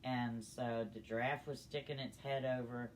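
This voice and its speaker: Older female storyteller, folksy and plain-spoken, relaxed chatty delivery, slightly noisy amateur recording. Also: a bass voice, moderate pace. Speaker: storytelling guest. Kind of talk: casual storytelling.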